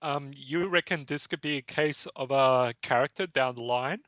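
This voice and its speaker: A man's voice: medium pitch, moderate pace, a bit bright, dry recording. A man hosting a casual podcast chat, laughing often and reading out game text. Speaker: podcast host.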